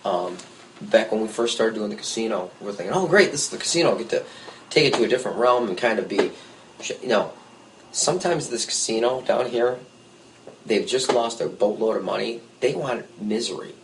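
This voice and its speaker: A man, a guest musician, telling lively stories in a casual podcast conversation with jokey asides. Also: on the low side, brisk, noisy background. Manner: storytelling, animated, jokey asides.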